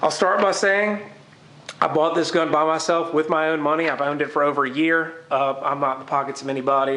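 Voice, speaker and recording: A man's voice: fairly high pitch, a bit bright. A man with a head cold giving an informal, technically detailed product review to camera. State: congested with a cold. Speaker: gun reviewer. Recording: echoey, roomy sound.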